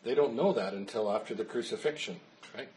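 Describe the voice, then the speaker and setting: A man's voice: lowish voice, brisk, a little mellow, slight background noise, poor audio. A man, a pastor, teaching a Bible study in an earnest, explanatory way and answering questions while losing his voice.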